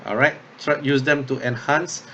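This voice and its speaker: A man instructor talking through an unscripted tutorial step by step, thinking aloud haltingly and often checking in with okay or yeah. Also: mid-range voice, steady tone, sharp tone, muffled recording, a little hiss or hum. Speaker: drama workshop instructor.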